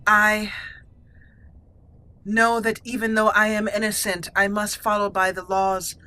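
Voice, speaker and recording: A woman's voice: mid-range voice, little pitch movement, a little slow, pushed and bright. A woman voicing a proud, wounded dwarven noblewoman in exile, speaking formally and earnestly in a game roleplay. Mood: earnest, resolute.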